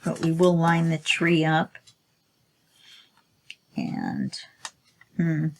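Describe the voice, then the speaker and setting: A woman's voice: low-pitched, wildly swooping pitch, extremely slow, gravelly, a little hiss or hum. A woman with a stuffy nose, talking to herself while she demonstrates a craft project in a casual tutorial. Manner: chatty, self-talking while working, sniffly. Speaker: crafting channel host.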